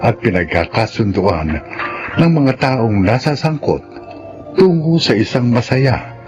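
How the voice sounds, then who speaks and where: A man with a Filipino accent announcing a classic radio drama in a deliberate, dramatic manner. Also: medium pitch, animated, muffled recording. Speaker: announcer-narrator. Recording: clear music bed behind the voice.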